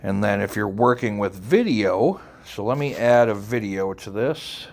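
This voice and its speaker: A man with a fairly low voice presents a software tutorial. He speaks informally and without a script, instructing one step at a time. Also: animated, measured pace. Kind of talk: casual, unscripted software tutorial narration.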